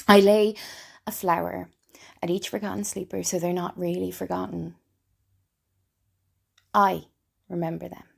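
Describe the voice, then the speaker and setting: A woman playing a lonely, wry, broken woman confiding in Death in an intimate, hesitant theatrical monologue, with a husky, breathy voice. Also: lowish voice, flat delivery, slow pace, long pauses, full character voice. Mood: tender, wistful. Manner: confessional, self-deprecating, hesitant. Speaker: writer and performer of a one-woman play.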